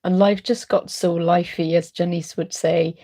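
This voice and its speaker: A middle-aged woman chatting on a late-night live stream, rambling warmly with many ums while congested and chesty from a cold. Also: fairly low pitch, flat delivery, a little slow. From Wales. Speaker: art channel host.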